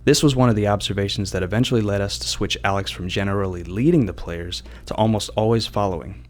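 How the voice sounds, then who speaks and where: A man's voice: lowish voice, quick pace. A man who works as a game developer, delivering prepared commentary on design decisions. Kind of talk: scripted developer commentary narration.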